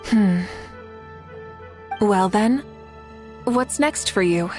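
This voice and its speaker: A woman's voice: medium pitch, extremely slow, darkish tone, soft background music. A woman playing a composed, confident female warrior leader in a video game, speaking with poise and assurance. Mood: amused, curious.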